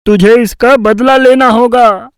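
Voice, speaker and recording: Sly, boastful village crook for a cartoon fairy tale male actor, high register, unhurried bragging. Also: fairly even intonation, clear, resonant tone, no echo, clean studio sound. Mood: coaxing. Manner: bragging, drawn-out cajoling.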